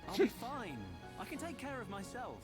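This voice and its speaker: An actor with a British accent playing an earnest, mild young researcher in a video game. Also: male voice, very high voice, very animated, moderate pace. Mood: earnest, reassuring. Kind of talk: dubbed video game cutscene dialogue. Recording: game dialogue under loud music.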